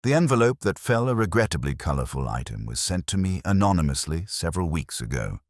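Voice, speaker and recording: An anxious, fussy bishop with a very deep voice, read by a male narrator in a detective story. He speaks formally and hesitantly, embarrassed and evasive, his pitch moving about. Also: sing-song, brisk, no echo, studio quality.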